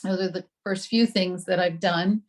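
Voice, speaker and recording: A woman in her forties introducing herself casually on a video call, talking in a relaxed way with many ums and pauses. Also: fairly low pitch. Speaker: new member introducing herself.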